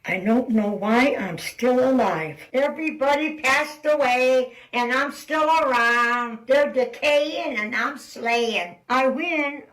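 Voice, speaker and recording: A woman acts out a gloating comic survivor who boasts of outliving everyone. The voice is high and very slow, in an echoey space. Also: a bit bright. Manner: drawn-out, boastful. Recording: echoey room.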